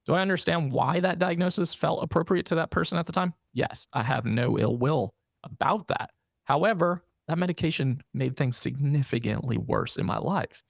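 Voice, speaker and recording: A man's voice: fairly high pitch, moderate pace, gravelly, dry recording, clean studio sound. A man hosting a podcast, talking in an earnest, personal way and often checking in with "right?". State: briefly losing his voice. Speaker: podcast host. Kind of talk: casual interview conversation.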